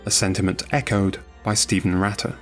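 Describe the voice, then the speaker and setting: Male documentary narrator, low-pitched, scripted read with music underneath. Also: steady tone, fairly quick.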